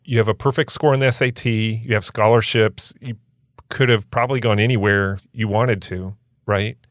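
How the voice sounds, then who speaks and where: A man's voice: lowish voice, fairly even intonation, moderate pace, no room sound, clean studio sound. A man hosting a podcast, asking curious, encouraging questions. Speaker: podcast host. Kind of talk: casual interview.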